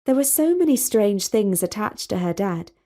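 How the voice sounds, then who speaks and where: A woman reading a scripted story as its narrator, in a measured, expressive way. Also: medium pitch.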